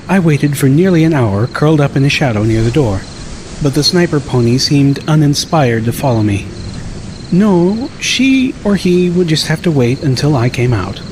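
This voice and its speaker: A man's voice: medium pitch, a little mellow, a little hiss or hum. A man reading first-person scripted story narration as an audiobook narrator.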